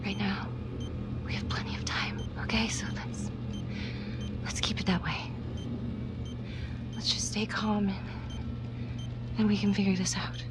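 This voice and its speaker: An actress playing a tough, desperate homicide detective in a crime thriller game, speaking in a tense, halting, urgent way. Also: female voice, medium pitch, flat delivery, whispered, over loud music, natural voice. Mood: strained calm.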